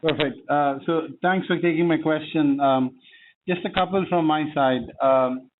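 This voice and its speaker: A man calling in as a financial analyst, politely asking a list of questions with frequent pauses. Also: a little high. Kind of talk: asking questions on an earnings call. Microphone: phone. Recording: phone line with faint noise.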